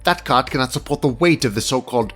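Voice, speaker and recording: A man's voice: medium pitch, fast talker, a bit bright, soft background music, lightly acted. A man playing a fussy, officious senior inspector in an audio drama, irritated and speaking in formal, precise, wordy phrases. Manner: formal, exacting, wordy diction. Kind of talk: audio drama character acting.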